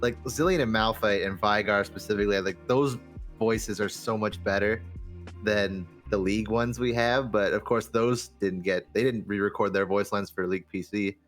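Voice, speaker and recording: A man in a casual podcast discussion, complaining in an animated way and often saying "like". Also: on the low side, normal speed, soft background music. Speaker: podcast guest.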